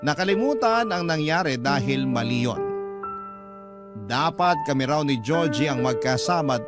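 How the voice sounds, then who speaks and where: Over a loud music bed, a man plays a heartbroken storyteller in a radio drama. He narrates in the first person, confessing a secret love. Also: fairly high pitch, lightly acted. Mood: confessional. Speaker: narrator reading a letter writer's story. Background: loud instrumental music.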